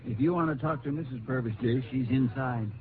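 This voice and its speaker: Casting: male actor as helpful country sheriff, mid-pitched, folksy and plainspoken, for a vintage radio serial with noisy, narrow sound. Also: fairly quick, lightly acted. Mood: plainspoken, matter-of-fact. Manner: folksy, conversational, explanatory. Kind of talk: 1950s radio drama acting. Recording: tinny, noisy early-1950s radio recording.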